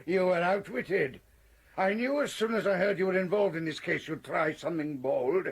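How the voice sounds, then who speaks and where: Casting: a portly, genial gentleman villain for radio drama, raspy high voice, ornate old-fashioned politeness. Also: normal speed. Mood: gloating, amused. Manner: florid, courteous, chuckling menace. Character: jovial, verbose male professor turned crime lord, large-bodied. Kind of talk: period radio drama acting.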